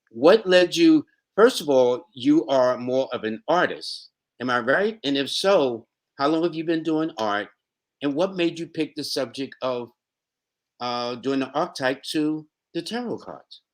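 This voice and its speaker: A man hosting an interview, asking long rambling questions and backing up the guest with short agreeing sounds. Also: mid-range voice. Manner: rambling questions, frequent backchannel. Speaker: interview host. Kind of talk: casual interview.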